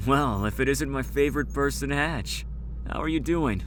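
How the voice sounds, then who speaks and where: A man's voice: medium pitch, normal speed, fairly bright tone, a little hiss or hum, close to an everyday voice. A man playing an arrogant, contemptuous, overworked boss in a motion comic, with a condescending, taunting delivery. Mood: teasing. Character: arrogant, contemptuous, overworked male higher-up in a deadly game. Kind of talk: scripted motion comic voice acting.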